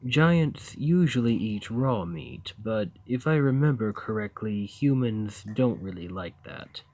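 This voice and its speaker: A man playing a friendly, naive, awkward giant who lives in a cave, in an ASMR roleplay, speaking in a hesitant, earnest, gently upbeat way. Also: medium pitch, expressive, unhurried, slight background noise. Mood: eager to please. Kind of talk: ASMR roleplay, acted monologue to the listener.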